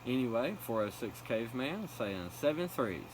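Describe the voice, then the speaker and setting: A man's voice: mid-range voice, big pitch swings, moderate pace. A man operating a CB radio, exchanging casual contact calls and repeating call signs. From North Carolina, United States. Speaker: CB radio operator and channel host. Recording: clear background noise.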